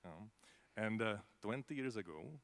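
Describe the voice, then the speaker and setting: A man with a Czech accent speaks in a very low voice on a conference panel. He talks loosely and chattily, often dropping in "uh" and "no?" as he goes. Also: animated, a little slow, creaky. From Czech Republic. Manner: conversational, many fillers, tag questions. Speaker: panelist from a space agency.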